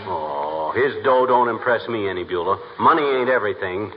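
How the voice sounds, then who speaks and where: An actor playing a blustery, self-important husband and bumbling schemer, folksy and mangling big words, in a 1940s radio comedy. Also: male voice, fairly low pitch, animated, moderate pace, close to an everyday voice. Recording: muffled, noisy old radio recording.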